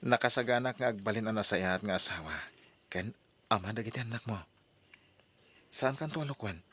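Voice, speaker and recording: A man's voice: lowish voice, brisk, a bit bright, husky. An actor playing an earnest male suitor in an old radio drama, speaking persuasively and pleadingly. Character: earnest male suitor courting a separated mother.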